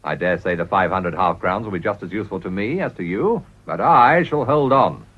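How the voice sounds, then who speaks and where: A sardonic, unflappable British financier in a period radio drama. His pitch moves freely as he brushes everything aside with breezy confidence. The recording is slightly dull and boxy. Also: lively intonation, normal speed, breathy edge. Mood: confident. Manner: breezy, sardonic, self-assured. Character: brazen, unflappable financier and politician, smooth-talking rogue.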